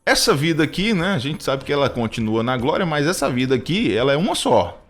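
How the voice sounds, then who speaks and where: A Brazilian man in his thirties giving personal testimony in conversational talk, reflective and halting, often repeating words as he goes. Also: a little high, sing-song. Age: 30s. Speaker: radio show co-host.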